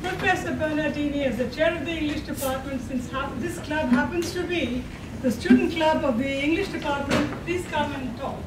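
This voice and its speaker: A woman's voice: high voice, moderate pace, far from the mic, a loud crowd. An older woman hosting an event, making informal announcements to an audience. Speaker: event host, college faculty member. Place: college classroom at a podium. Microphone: distant camera. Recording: echoey room from afar, audience noise. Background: audience and room noise.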